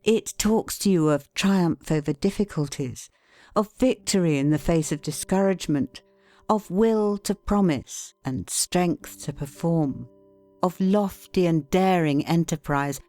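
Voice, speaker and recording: A woman reading an audiobook in a measured, formal, deliberate manner. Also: lowish voice, warm tone, dry recording, faint music behind. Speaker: audiobook narrator. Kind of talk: scripted audiobook narration.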